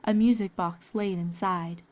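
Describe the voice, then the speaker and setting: A woman's voice: mid-range voice, steady tone, a little slow, darkish tone. A woman reading scripted story narration as the narrator of a fan fiction.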